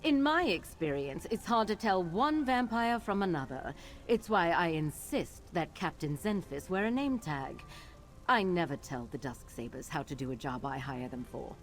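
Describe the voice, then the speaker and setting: A woman's voice: fairly low pitch, animated, normal speed. A woman voicing a haughty, evasive wizard master in a fantasy video game, imperious and dismissive. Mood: dry, disdainful. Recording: music behind.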